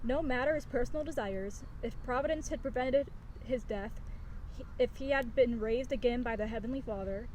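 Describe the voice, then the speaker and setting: A woman's voice: fairly high pitch, normal speed, a little mellow, a little hiss or hum, rough recording. A woman co-hosting a podcast outdoors, reading aloud and chatting casually. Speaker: podcast co-host. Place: outdoors on location in a town.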